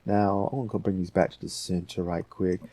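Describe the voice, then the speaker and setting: Man, very low husky voice, dark tone, casual tutorial host, rambling, thinking aloud. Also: little pitch movement, brisk, no room sound. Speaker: audio editing tutorial host.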